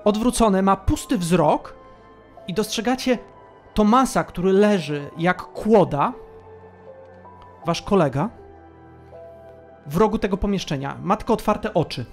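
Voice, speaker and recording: A woman's voice: mid-range voice, lively intonation, measured pace, long pauses, darkish tone, soft background music. A woman narrating a tabletop roleplaying game as game master, telling the story in a descriptive, suspenseful way.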